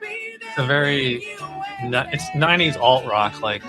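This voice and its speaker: A man's voice: medium pitch, huge pitch swings, measured pace. A man hosting a podcast in a casual chatting style, steering the conversation. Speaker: podcast host. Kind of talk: casual music chat. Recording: music clearly playing behind voice.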